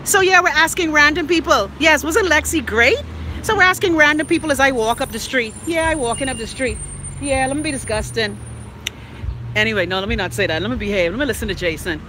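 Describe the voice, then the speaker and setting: Bright-voiced Bahamian woman vlogging. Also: sits high, fairly quick, a little hiss or hum. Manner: chatty, blunt and joking. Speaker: podcast host. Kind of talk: casual vlog chat while walking. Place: outdoors on a street.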